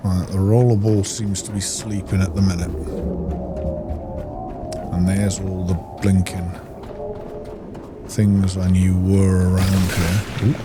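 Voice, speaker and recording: A man with a fairly low voice hosts a gameplay session. He talks casually and half to himself as he plays. Loud game music runs behind his voice. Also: little pitch movement, slow, long pauses. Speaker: gaming host. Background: game music and sounds.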